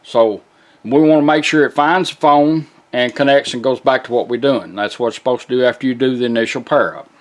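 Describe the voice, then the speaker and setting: A man's voice: medium pitch, fairly quick. A man giving a casual, hands-on product demonstration in a folksy, plain-spoken way.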